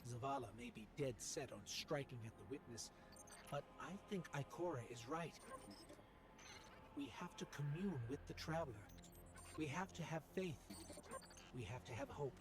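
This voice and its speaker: A man voicing a small, loyal robot companion in a video game, earnest, measured and encouraging. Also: a little high, close to an everyday voice.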